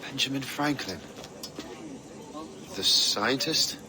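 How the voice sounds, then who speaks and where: An actor playing a troubled, brooding young sea captain in a video game. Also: male voice, medium pitch, expressive, slow. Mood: concerned. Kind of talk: video game cutscene acting.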